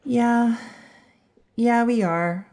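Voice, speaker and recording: A woman's voice: a little high, drawn-out words, darkish tone. A woman playing a firm, loyal teenage heroine in an audio drama, earnest and resolute.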